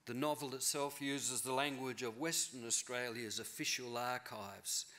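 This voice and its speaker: A middle-aged man giving a keynote lecture from a podium in a large auditorium, thoughtful and measured, often rephrasing himself. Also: mid-range voice, bright, forceful tone. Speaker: keynote speaker, novelist. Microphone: podium mic.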